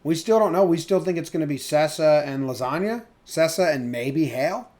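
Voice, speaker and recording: A man's voice: on the high side, expressive, moderate pace, darkish tone. A man co-hosting a sports podcast in casual, colloquial chat, swearing freely.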